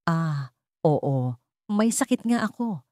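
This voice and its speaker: Woman voicing a timid, downtrodden young stepdaughter, low-pitched. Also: big pitch swings, takes it slowly, dark tone, no echo, studio quality, full character voice. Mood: hesitant. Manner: hesitant, polite, explaining. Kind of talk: dramatic audio storybook dialogue.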